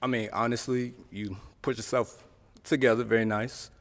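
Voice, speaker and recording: A man's voice: mid-range voice, level tone, normal speed, big-room sound. A man on a dating game show, explaining his picks bluntly but politely. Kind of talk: casual chat.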